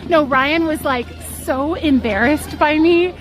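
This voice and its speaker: A woman's voice: high-pitched, slow. A woman live-streaming from a theme park, telling a story in a chatty, giggly, self-deprecating way. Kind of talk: casual storytelling to a live chat. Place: outdoors at a theme park on a rainy day. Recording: park music and crowd noise behind.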